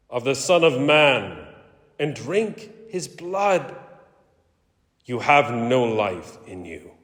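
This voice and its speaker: Guy playing weighty authoritative teacher from scripture, really drawn out, pitch soaring and dropping, long echo. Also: exaggerated voice. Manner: declamatory, weighty, deliberate. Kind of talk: dramatic scripture reading. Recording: echoey hall with long reverb.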